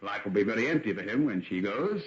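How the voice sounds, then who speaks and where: A man acting a hearty, teasing young English gentleman with a British accent in an old-time radio drama, jovial and bantering. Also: lowish voice, lively intonation, fast talker, lightly acted. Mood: frank, prodding. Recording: dull, band-limited vintage radio recording.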